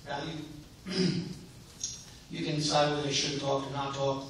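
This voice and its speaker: A man's voice: on the high side, little pitch movement, unhurried, a bit bright. A man who is losing his voice, answering as a candidate in a debate. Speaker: mayoral candidate. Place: synagogue hall. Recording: echoey hall from far off, some noise.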